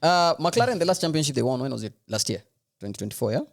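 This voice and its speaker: A man's voice: medium pitch, expressive, quick pace, creaky. A man co-hosting a podcast, chatting informally about sport in a teasing way. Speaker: podcast co-host. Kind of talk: casual sports chat.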